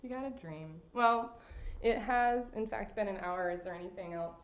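A woman hosting a podcast in casual chat, blunt and profane and quick to laugh. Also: mid-range voice. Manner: laughs often, blunt and swearing. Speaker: podcast host. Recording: roomy echo.

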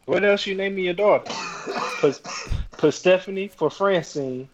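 A man co-hosting a podcast, firing quick jabs and incredulous questions in casual banter. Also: high voice. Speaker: podcast co-host. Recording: muffled mic with hiss.

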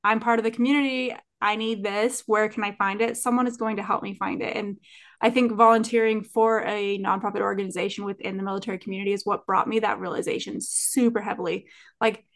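A woman's voice: medium pitch, steady tone, brisk, a bit bright. A woman co-hosting a podcast, chatting casually and enthusiastically. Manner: enthusiastic, upbeat. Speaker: podcast co-host.

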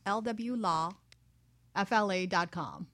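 A woman's voice: mid-range voice, slow pace, a bit bright. A woman guest on a talk show, chatting casually and chiming in with informal, emphatic remarks. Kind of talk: casual chat.

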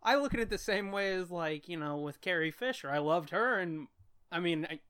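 A man's voice: high-pitched, lively intonation, brisk, fairly bright tone, smooth. Irreverent, sweary man in casual chat. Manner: profane, irreverent, joking. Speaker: podcast co-host.

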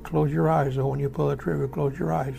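Actor as a very gravelly old American war veteran. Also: male voice, fairly high pitch, flat delivery, warm tone. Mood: remorseful. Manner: halting, plainspoken, folksy reminiscing. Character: old American WW2 veteran, remorseful, haunted by killing. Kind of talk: radio drama monologue, recounting war memories. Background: music behind the voice.

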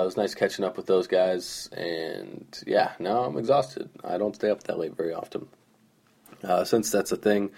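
Young male podcast host, hoarse and groggy, deep voice, rambling, self-deprecating storyteller. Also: a little mellow. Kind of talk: casual solo storytelling.

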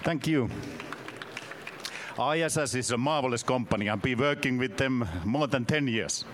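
A man from Finland in his fifties with a Finnish accent, giving a halting, self-deprecating conference talk in a second language. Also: mid-range voice, a crowd in the background, noisy background. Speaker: conference speaker. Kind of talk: conference presentation. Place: conference session room.

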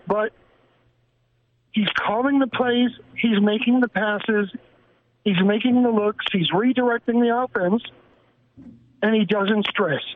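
A man calling in to a sports radio show and making a steady, earnest argument. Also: high-pitched, slow, long pauses. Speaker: caller. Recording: tinny noisy phone line.

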